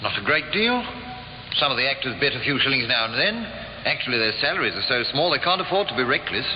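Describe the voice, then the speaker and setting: Indignant jailed theatre man male actor, clipped and articulate, animated delivery, echoey vintage radio recording. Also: medium pitch, fast, lightly acted. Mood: wry, candid. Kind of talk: 1950s radio drama acting. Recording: echoey, muffled, noisy old radio recording.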